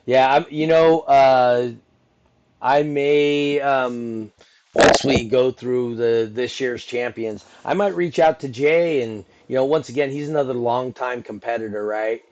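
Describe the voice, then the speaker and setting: A man's voice: mid-range voice, unhurried, a little mellow, dull audio, a little hiss or hum. A middle-aged man hosting a casual podcast conversation, rambling with many restarts and asides. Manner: rambling, full of restarts and "you know". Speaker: podcast host and event announcer.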